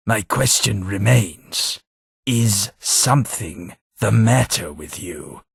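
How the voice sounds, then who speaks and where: A loyal, well-mannered aristocrat sidekick. His voice is low and husky, and his lines come slowly and formally in a dry, close game-studio recording. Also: fairly bright tone, dry recording, heavily acted. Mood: hurt. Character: posh aristocratic male companion, earnest and loyal. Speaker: game companion.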